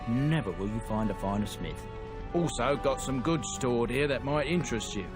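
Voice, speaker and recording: A man's voice: mid-range voice, lively intonation, normal speed, lightly acted. A man playing a friendly dwarf trader. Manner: easygoing, chatty. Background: game music.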